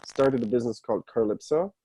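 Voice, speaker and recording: A man with a German accent speaks as an interview guest. His voice is soft-edged and medium in pitch, and he explains things step by step in casual talk, using everyday examples. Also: quick pace, a little hiss or hum, low-quality recording. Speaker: podcast guest, startup founder. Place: remote call.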